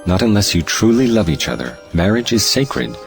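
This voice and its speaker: A man's voice: lowish voice, sing-song, moderate pace, gravelly, soft background music. A man playing an earnest, principled clergyman in an audio play, solemn and moralizing.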